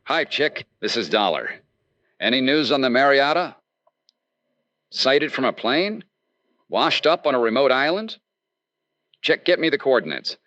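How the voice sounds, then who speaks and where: An actor playing a wry, polite and businesslike insurance investigator in an old-time radio detective drama. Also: male voice, medium pitch, sing-song, unhurried, long pauses, bright, forceful tone, no echo, dull audio. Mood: brisk, wry. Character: upbeat, courteous, wry male insurance investigator.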